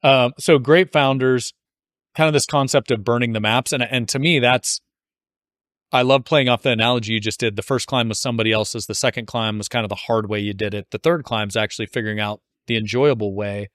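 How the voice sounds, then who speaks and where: A man's voice: mid-range voice, fairly even intonation, brisk, a bit bright, no echo. Casual male podcast host, close dry sound. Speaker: podcast host interviewing an author. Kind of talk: casual conversational interview.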